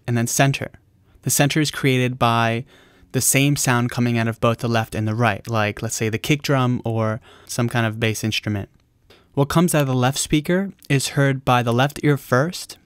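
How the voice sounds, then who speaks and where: Clear, smooth-voiced man presenting a tutorial. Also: medium pitch, no room sound, clean studio sound. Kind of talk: explanatory video tutorial narration.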